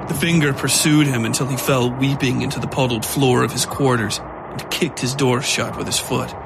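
A man's voice: mid-range voice, steady tone, moderate pace, gravelly, background noise. A man reading scripted, dramatic narration for a horror fiction podcast. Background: music.

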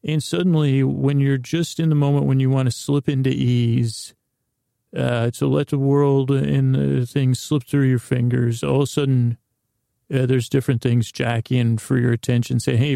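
Man, heavily creaky voice, dark tone, halting delivery, meandering silly bedtime monologue, podcast host. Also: medium pitch, dry recording, studio quality. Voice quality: gravelly, creaky.

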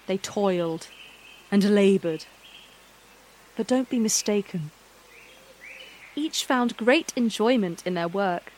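A woman narrating a scripted fantasy story in a measured, dramatic storytelling manner. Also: medium pitch, animated, a little mellow, slight background noise.

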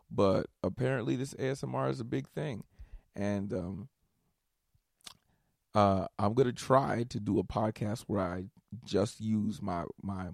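A man's voice: lowish voice, creaky, no room sound, studio quality. A young man hosting a solo podcast, rambling casually and swearing, tired from staying up all night. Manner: rambling, profane, animated asides. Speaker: podcast host.

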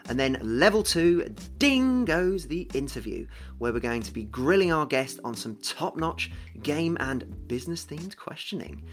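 A man's voice: a little high, sing-song, unhurried, fairly bright tone. A man hosting a business and games podcast, upbeat and teasing in casual chat. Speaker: podcast host.

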